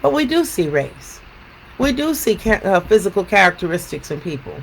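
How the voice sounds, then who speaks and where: A woman educator speaking in a deliberate, emphatic way, as in a read-aloud storytime and reflective talk. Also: mid-range voice, big pitch swings, creaky, a little hiss or hum, poor audio. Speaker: educator reading a picture book aloud.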